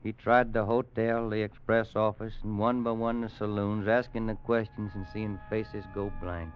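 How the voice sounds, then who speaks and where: A man's voice: fairly low pitch, flat delivery, normal speed, clean tone, natural voice. An actor playing a weary, worried Western man telling a grim tale in a folksy American radio drama style. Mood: grave, haunted. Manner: folksy, grave dramatic storytelling. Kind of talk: 1950s radio drama acting. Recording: muffled old radio recording with music.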